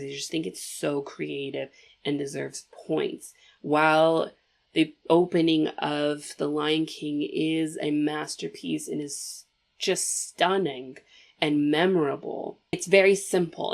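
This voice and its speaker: A woman's voice: deep voice, gravelly. A young woman hosting a casual movie commentary, chatty and opinionated, talking straight to viewers while sniffly from a cold.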